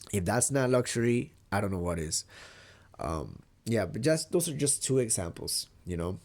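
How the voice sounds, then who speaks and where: A man's voice: lowish voice, animated, a little slow, darkish tone. A young man from Mexico talking casually in a vlog, with a stuffy, nasal voice from a cold, rambling and swearing easily. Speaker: vlogger.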